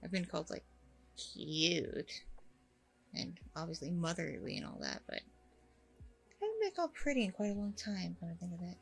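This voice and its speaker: A woman playing a warm, chatty single working mom on a date, in a casual, rambling ASMR roleplay. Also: lowish voice, big pitch swings, normal speed, gravelly, long pauses, slightly rough, exaggerated voice, poor audio. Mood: flattered, affectionate. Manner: casual, rambling, informal slangy talk. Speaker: roleplay performer.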